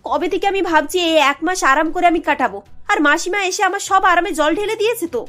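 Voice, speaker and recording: A woman's voice: very high voice, brisk, pushed and bright, pitched above the performer's own voice. A woman voicing a harried young working daughter-in-law in a cartoon, flustered and given to whining complaint. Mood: fed up. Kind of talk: animated cartoon dialogue.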